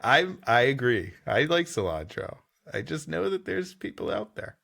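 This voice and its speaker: A man's voice: medium pitch, big pitch swings, normal speed, gravelly, fairly bright tone. A man co-hosting a podcast, reading a story aloud with dry, wry joking asides. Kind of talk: casual banter mixed with story reading.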